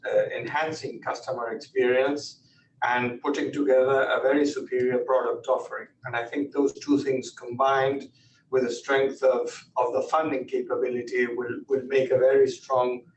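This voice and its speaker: A man speaking as a company executive, giving measured business commentary on an earnings call. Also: medium pitch, flat delivery, lo-fi sound. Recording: echoey, distant mic, faint background noise.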